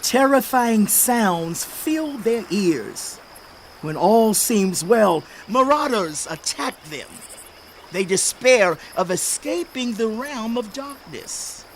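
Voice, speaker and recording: Slow, high-voiced stern old sage. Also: male voice, animated, a little hiss or hum, heavily acted. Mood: grave, ominous. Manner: declamatory, accusing, deliberate. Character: stern aged male sage rebuking a sufferer. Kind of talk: dramatized scripture reading. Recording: faint outdoor insect ambience.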